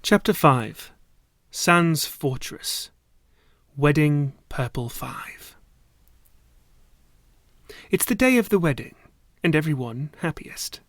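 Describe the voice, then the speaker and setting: A man reading a story aloud as a voice actor, in a dramatic, theatrical manner that drops at times into hushed asides. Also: on the high side, animated, takes it slowly, pauses often, dry recording, clean studio sound.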